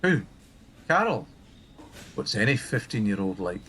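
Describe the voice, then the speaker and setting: A man's voice: on the low side, sing-song, a little slow, sharp tone, a little hiss or hum, heavily acted. Laconic, wry helpline man. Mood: noncommittal. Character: reserved, dry-witted male helpline counsellor. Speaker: actor. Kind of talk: radio play acting.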